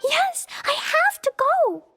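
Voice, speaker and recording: A woman's voice: very high voice, expressive, slow pace, pushed and bright, no echo, studio quality, soft background music, full character voice. An actress playing a sweet, innocent little girl in a game, with a small childlike voice. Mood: eager. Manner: innocent, earnest. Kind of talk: animated game character dialogue.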